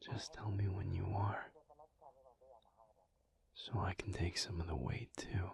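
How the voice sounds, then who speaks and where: A man playing a tender, weary, protective alpha werewolf in an intimate audio roleplay, speaking gently and haltingly in a breathy, low, often whispered voice. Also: fairly even intonation, heavily acted. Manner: slow, gentle, halting, reassuring.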